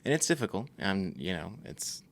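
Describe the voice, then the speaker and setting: Gravelly low-voiced young man, earnest. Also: sing-song, moderate pace, a little hiss or hum. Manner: earnest, thoughtful, with many fillers. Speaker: podcast co-host. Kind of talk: casual faith talk.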